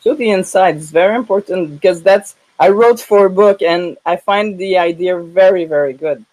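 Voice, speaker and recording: A woman from Canada with a French-Canadian accent hosting a casual live interview in a halting way, often repeating words. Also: lowish voice, darkish tone, low-quality recording. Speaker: live show host.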